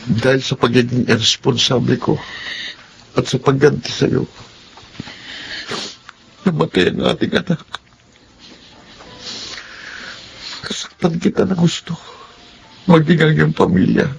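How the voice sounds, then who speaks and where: A man with a Filipino accent playing a contrite wrongdoer who pleads for forgiveness in a radio drama, his voice gravelly. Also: mid-range voice, normal speed, long pauses, slight background noise. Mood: remorseful, pleading. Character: remorseful man begging forgiveness from the woman he wronged.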